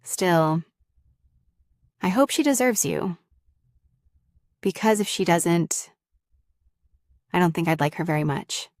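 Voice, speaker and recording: Casting: woman to play clingy, jealous young female roommate, lovestruck; lowish register, halting confessional delivery, very dry intimate ASMR sound. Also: expressive, no echo. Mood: vulnerable confession. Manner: halting, confessional, addressing the listener directly. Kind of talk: intimate ASMR roleplay acting.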